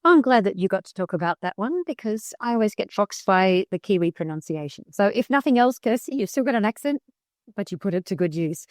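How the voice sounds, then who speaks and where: A woman co-hosting a travel podcast, chatting in a lively, playful, emphatic way. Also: mid-range voice, a little fast, dry recording, clean studio sound. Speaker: podcast co-host. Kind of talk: casual chat.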